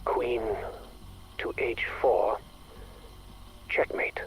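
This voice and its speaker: An actor playing a stern, intense scientist and project director in a video game audio log, speaking formally and precisely. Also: male voice, mid-range voice, steady tone, drawn-out words. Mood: stern, probing. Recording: faintly noisy recorded audio log.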